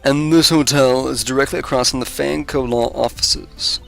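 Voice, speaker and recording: A man voicing a smug, sneering male prosecutor in a video game, deliberate and haughty. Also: mid-range voice, steady tone, creaky, a bit bright. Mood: probing, cool. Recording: music under the voice.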